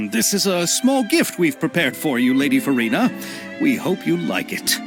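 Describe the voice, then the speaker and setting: Earnest film director, wildly animated. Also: male voice, a little high, normal speed. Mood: courteous, eager. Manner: formal, courteous. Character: polite, earnest male film director, deferential and eager. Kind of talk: scripted video game dialogue. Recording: faint music behind the voice.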